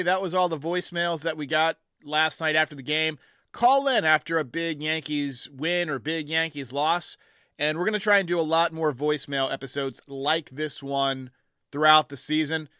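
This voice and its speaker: Male sports talk host, thirties, forceful and clean-voiced, close on the mic in a studio. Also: on the high side, clear, resonant tone, dry recording. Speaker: host of a sports fan show. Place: small home studio, indoors. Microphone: close studio mic on boom arm.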